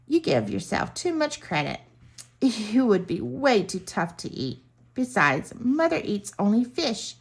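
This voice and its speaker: A woman voicing a cheeky, confident young male animal cub in a storybook, excitable and mischievous. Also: fairly high pitch, unhurried, vocal fry, husky, exaggerated voice. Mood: teasing.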